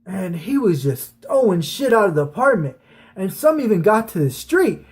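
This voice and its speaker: A man's voice: high-pitched, big pitch swings, normal speed, slightly rough. A man telling personal stories to his viewers in an informal, rambling, slangy way, with some swearing. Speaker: online storyteller, channel host. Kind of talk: casual personal storytelling to viewers.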